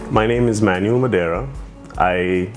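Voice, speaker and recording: A man's voice: lowish voice, normal speed, soft background music. A man from India with an Indian accent, talking casually about himself in an interview. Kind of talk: casual personal interview account.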